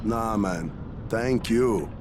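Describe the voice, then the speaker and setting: A man's voice: fairly low pitch, very slow, background noise. A man playing a blunt, streetwise fixer in video game dialogue. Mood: gruff, grateful. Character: blunt, streetwise male fixer helping people cross.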